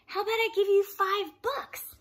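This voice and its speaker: A woman's voice: very high voice, flat delivery, moderate pace, pitched above the performer's own voice. A woman voicing a pleading, tantrum-prone pigeon from a picture book, whiny and dramatic. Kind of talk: children's storybook read-aloud.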